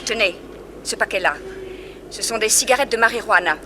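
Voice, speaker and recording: An actress playing a detective's brisk, assertive assistant, self-assured and pointed, in a 1960s French radio crime drama. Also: female voice, a little high, lightly acted. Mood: firm, challenging. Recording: noisy old radio drama recording.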